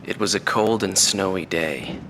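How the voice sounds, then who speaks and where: A man playing a reflective veteran fighter pilot who recalls a war, as if interviewed, in a video game. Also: deep voice. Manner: slow, reflective. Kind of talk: scripted interview reminiscence. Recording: loud background noise under the voice.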